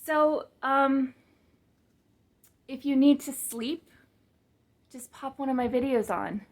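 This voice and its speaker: A woman talking casually to her camera in a monotone, flat voice, rambling with fillers and restarts and poking fun at herself. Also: high-pitched, slow. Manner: rambling, many fillers and restarts, self-mocking. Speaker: vlogger and business coach. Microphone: camera mic, speaking to the lens.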